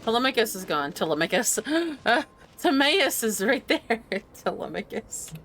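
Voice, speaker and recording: A woman's voice: fairly high pitch, big pitch swings, moderate pace, fairly bright tone. A woman game streamer giving playful commentary and reading dialogue aloud as she plays. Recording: game music behind.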